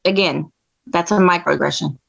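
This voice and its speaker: Precocious teen doctor, woman actor: creaky, blunt, indignant, on dull lo-fi audio. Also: fairly low pitch, normal speed. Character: assertive, indignant fourteen-year-old girl doctor. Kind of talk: script table read.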